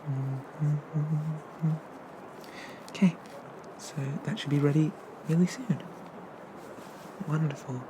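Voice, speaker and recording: A performer playing a friendly, bashful monster barista in a soft, hesitant, gently chatty whispered ASMR roleplay. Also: medium pitch, fairly even intonation, slow, long pauses, background noise, lightly acted. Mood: kind, helpful. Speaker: coffee shop barista.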